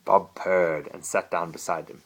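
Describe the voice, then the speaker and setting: A man's voice: low voice, steady tone, measured pace. A man reading a children's bedtime story expressively, as a father to his child.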